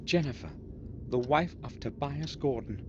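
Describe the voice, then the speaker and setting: Game role: male actor as observant protagonist, measured, mid-pitched, muffled and noisy recording. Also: close to an everyday voice. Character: protagonist observing an old castle aloud. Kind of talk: scripted video game narration.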